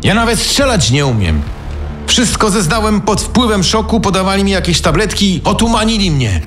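A whining self-pitying killer. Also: male voice, high-pitched, sing-song, normal speed, fairly bright tone. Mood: pleading. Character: middle-aged male killer, sullen and self-pitying. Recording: radio drama with music behind.

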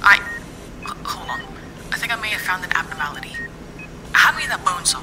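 An actress playing a brusque, exasperated military surgeon in a sci-fi audio drama, speaking in a curt, clinical way. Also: female voice, fairly low pitch, sing-song, brisk, heavy background noise. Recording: loud music and effects behind.

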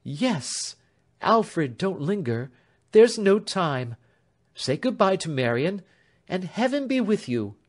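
A man reading an audiobook voices a calm, gentle young woman who speaks softly and reassuringly. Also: high-pitched, slow, pitched above the performer's own voice, exaggerated voice.

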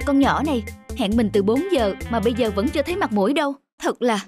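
A woman voicing a sensitive, expressive young woman, an emotional girlfriend in a cartoon. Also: on the high side, fast talker, soft background music, lightly acted. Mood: annoyed. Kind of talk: cartoon dubbing.

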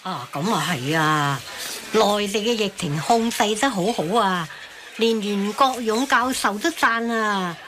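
An actress in a radio drama playing a chatty, inquisitive auntie with a Hong Kong Cantonese accent. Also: female voice, medium pitch, measured pace, noisy background, natural voice.

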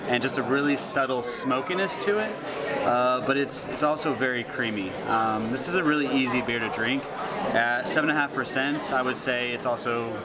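A man in his thirties giving a relaxed, easygoing beer review at a brewery cafe. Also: mid-range voice, normal speed. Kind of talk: casual beer tasting review. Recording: loud cafe crowd behind. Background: crowd chatter.

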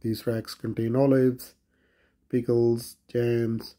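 A man's voice: mid-range voice, little pitch movement, slow, mellow. A man vlogging a walk through a large grocery store, plainly listing produce and prices. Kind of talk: grocery store walkthrough narration.

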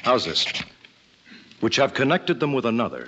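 An actor playing an earnest colonial statesman in an old-time radio drama, speaking formally and deliberately. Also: medium pitch, big pitch swings, pushed and bright. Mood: thoughtful. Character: Thomas Jefferson, thoughtful, earnest colonial statesman drafting aloud. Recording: muffled, noisy old radio recording.

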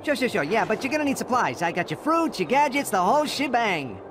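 An actor voicing a slick male animal salesman with smooth, pushy patter in a video game cutscene. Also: mid-range voice, very animated, a little fast, close to an everyday voice. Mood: pushy, jovial. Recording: music under the voice.

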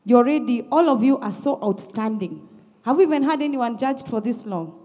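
Female judge, fairly high voice, effusive and emphatic feedback to performers, long hall reverb. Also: expressive, fairly quick, warm tone. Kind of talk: spoken feedback to performers on stage. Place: large indoor theatre auditorium. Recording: echoey auditorium with long reverb.

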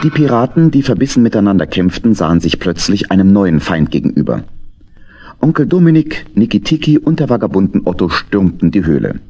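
A man with a low, husky voice narrates a children's adventure play as a storyteller. His pitch moves in lively swings, with faint music underneath. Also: brisk. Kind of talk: scripted storybook narration for children's audio drama.

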